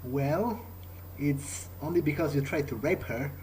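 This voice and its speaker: A man improvising in character during a game playthrough, speaking in a halting way and repeating words. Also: fairly high pitch, a little mellow, low-quality recording. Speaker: gamer roleplaying his character. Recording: faint background noise.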